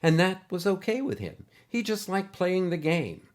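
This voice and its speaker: A man narrating a scripted short story as a host, with expressive, animated delivery. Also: sits high, normal speed.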